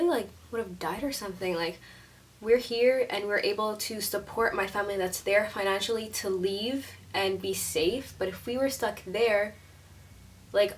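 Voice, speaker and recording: Chatty young woman, slightly distant mic. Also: mid-range voice. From Syria. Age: 20s. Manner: chatty, says like a lot, laughs often. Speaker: YouTuber. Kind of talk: casual vlog chat.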